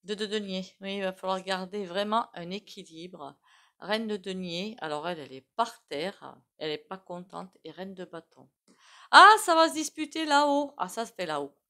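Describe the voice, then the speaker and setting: A woman giving a casual, rambling tarot reading, full of fillers and frequent throat clearing. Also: mid-range voice, sharp tone. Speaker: tarot reader.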